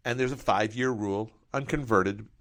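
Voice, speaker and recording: A man's voice: fairly low pitch, moderate pace, fairly bright tone. A man working as a financial advisor, explaining retirement tax rules through first-person hypothetical examples.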